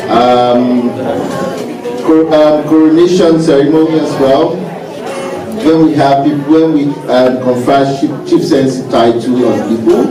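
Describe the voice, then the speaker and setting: A man with a Nigerian accent explaining something to an audience in measured, halting phrases. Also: medium pitch. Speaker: cultural parade participant. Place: large indoor hall. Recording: echoey hall, distant mic, loud music and crowd.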